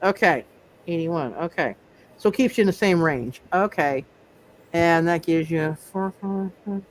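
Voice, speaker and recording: A game master running a live tabletop superhero game, speaking haltingly and often repeating words and phrases. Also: medium pitch, rough recording. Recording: noticeable background noise.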